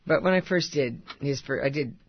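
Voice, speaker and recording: Older lady w/ husky gravelly voice, chatty, laughs a lot, dry dull-ish recording. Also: sits low, moderate pace, no room sound. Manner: chatty, laughs often, warm storytelling. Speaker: actress guest. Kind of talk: casual interview chat.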